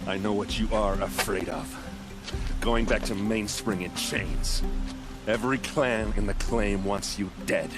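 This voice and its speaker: An actor playing a brash, hot-headed, loyal warrior in a video game cutscene. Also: male voice, on the low side, unhurried, loud music behind the voice, close to an everyday voice. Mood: grim, angry. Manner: blunt, brash.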